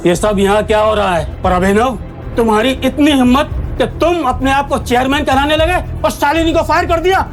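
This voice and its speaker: An actor as arrogant corporate boss, blustering, high voice, audio drama, faint music behind. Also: moderate pace. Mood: outraged. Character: arrogant male company boss, blustering then flustered.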